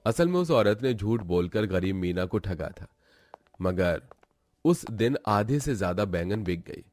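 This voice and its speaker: A man's voice: fairly low pitch, expressive, a little slow, a little mellow. A man narrating a scripted cartoon folk tale as storyteller.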